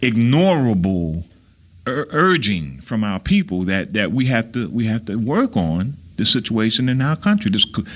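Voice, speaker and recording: Man, American accent, talk radio host, somewhat low pitch, casual commentary, hesitant phrasing, repeated words. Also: very animated. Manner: halting, searching delivery with repeated words. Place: radio studio.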